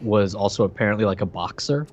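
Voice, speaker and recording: A man's voice: lowish voice, fast. Man in casual podcast chat, slightly muffled audio. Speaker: podcast co-host. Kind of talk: casual film discussion chat.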